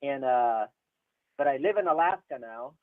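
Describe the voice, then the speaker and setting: A man's voice: mid-range voice, lively intonation, normal speed. A man from Chile calling in to a chat show, speaking hesitantly with many fillers, heard over a tinny phone line. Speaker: caller. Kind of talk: casual phone-in conversation.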